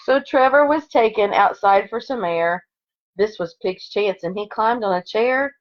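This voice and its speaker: Female primary teacher for online story time, mid-range voice, chatty and expressive with young children, dull home-recorded sound. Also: medium pitch, unhurried. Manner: chatty asides between expressively read story lines. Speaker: second grade teacher. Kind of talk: read-aloud to children online.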